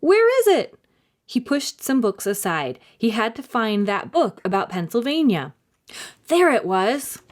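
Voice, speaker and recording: Female storytime reader, highly varied intonation, dramatic and clearly phrased delivery for young listeners. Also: very animated, measured pace. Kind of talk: expressive scripted storybook narration for children.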